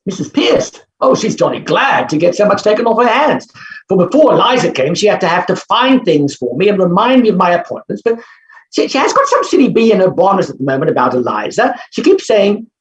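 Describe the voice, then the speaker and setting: A blustering gentleman professor from a period stage comedy, acted out in a reading. The voice swoops widely in pitch, petulant and emphatic, and rings in a roomy space. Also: very animated, moderate pace, natural voice. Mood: dismissive. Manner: blustering, emphatic, upper-class turns of phrase. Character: brash, self-absorbed male phonetics professor, petulant with his mother.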